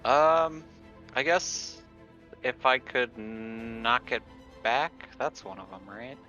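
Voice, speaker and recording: Slow, bright-voiced man, slightly dull audio. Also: mid-range voice, expressive, creaky, faint music behind. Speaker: tabletop game player. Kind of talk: casual game chat.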